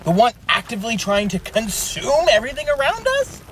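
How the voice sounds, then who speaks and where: A man's voice: very high voice, sing-song, a bit bright, a little hiss or hum, exaggerated voice. A man playing an anxious, sarcastic space scout in a comedy radio drama, nervous and wry. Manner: nervous, wry, fast-worried.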